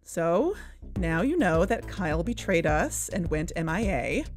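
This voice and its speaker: A woman's voice: lowish voice, big pitch swings, unhurried, a little mellow. A woman playing an earnest, candid podcast co-host, speaking in a conversational scripted style. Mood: wry, serious. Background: background music.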